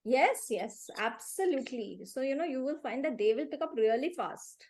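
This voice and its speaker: A middle-aged woman with an Indian accent gives conversational advice in a live online class, speaking in an emphatic, animated and opinionated way. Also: high-pitched, moderate pace. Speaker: reading teacher and parenting expert. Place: online video call.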